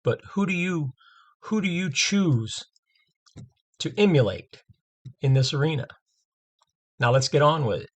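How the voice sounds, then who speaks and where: A man hosting an interview over a video call, friendly and halting, with many fillers. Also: a little high, animated. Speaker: interview host.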